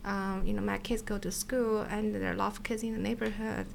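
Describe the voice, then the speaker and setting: A woman's voice: medium pitch, little pitch movement, a little fast, vocal fry, slight background noise. A middle-aged woman from China, a scientist chatting casually in an interview, laughing often and repeating words. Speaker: scientist and podcast guest. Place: her office. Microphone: desk mic.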